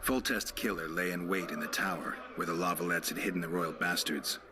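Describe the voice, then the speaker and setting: An actor playing a world-weary monster hunter, terse and deadpan, in a video game cutscene. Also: male voice, deep voice, a little fast, noisy background, natural voice. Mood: matter-of-fact.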